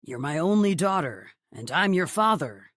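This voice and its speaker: A woman's voice: on the low side, very animated, normal speed, no room sound, clean studio sound. A woman voicing a fond, fretting middle-aged father in audiobook dialogue. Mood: fond, worried.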